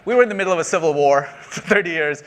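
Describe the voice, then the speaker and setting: A man's voice: fairly high pitch, very fast, fairly bright tone. A middle-aged man from Sri Lanka speaks from a conference stage in a slightly raised voice. He talks in a loose, chatty way, full of ums, and laughs at his own jokes. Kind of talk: keynote talk to an audience.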